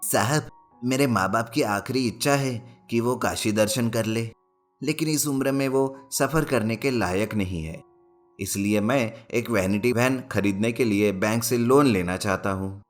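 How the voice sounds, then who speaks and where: A man's voice: medium pitch, a little slow, faint music behind, lightly acted. A man voicing an earnest, dutiful young soldier in a village storybook tale. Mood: pleading.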